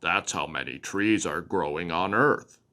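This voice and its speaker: A man voicing a slow, ponderous male tree frog with a croaky voice in a storybook. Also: deep voice, bright, forceful tone, pitched below the performer's own voice, heavily acted.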